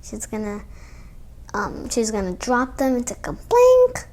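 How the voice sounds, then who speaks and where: Female read-aloud host for children's storytime, notably high voice, storytelling mixed with informal asides. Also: huge pitch swings, measured pace, noisy background.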